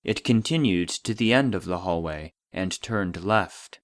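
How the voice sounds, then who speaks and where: A formal, impersonal archive narrator voiced by a man reads a scripted report with clinical detachment. The voice sits fairly low and is recorded dry, with no room around it. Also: a little slow, no echo. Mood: clinical, detached. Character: formal, impersonal male voice of a secret archive database.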